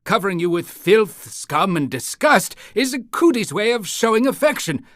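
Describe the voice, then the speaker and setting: A man's voice: high-pitched, very animated, normal speed, a bit bright, no room sound, studio quality. A man voicing an eager, polite and fussy town-guide creature in a cartoon game, with theatrical announcing and awkward hesitations. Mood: helpful.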